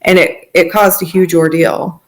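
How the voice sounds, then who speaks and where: A woman's voice: lowish voice, flat delivery, measured pace. An American woman, around her thirties, telling a personal story in a conversational way as a podcast guest.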